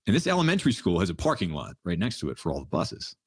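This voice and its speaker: A man in his thirties hosting a casual livestream Q&A, answering listener questions in a relaxed, rambling way and laughing often. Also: low voice, fast talker, slightly rough, no echo, clean studio sound. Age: 30s. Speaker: livestream Q&A host. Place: home in the mountains.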